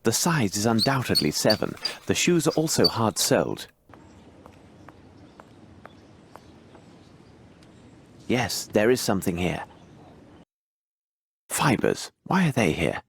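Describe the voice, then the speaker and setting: Imperious british gentleman detective, game voice, lowish and really expressive, formal and theatrical, bit of noise. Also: vocal fry. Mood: musing. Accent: British English. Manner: precise, formal, deliberate, theatrical. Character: shrewd, imperious gentleman detective, adult man. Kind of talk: dramatic video game voice acting.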